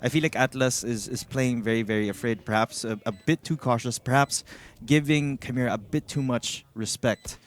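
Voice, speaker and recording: A man with a Filipino accent giving live esports analysis in measured, hesitant short phrases. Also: medium pitch, fairly even intonation. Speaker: esports shoutcaster.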